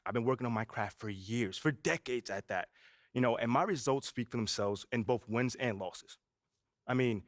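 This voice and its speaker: A man plays a cocky young pro basketball rookie in a sports game, talking in a laid-back, brash way and leaning on "you know" again and again. His voice sits at an ordinary middle pitch, and the recording is close and dry. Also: dry recording, lightly acted. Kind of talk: video game cutscene voice acting.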